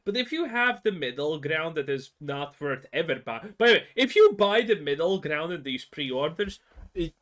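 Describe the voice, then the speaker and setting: A man's voice: high-pitched, sharp tone. A man giving blunt, scornful reaction commentary on a video, ranting casually.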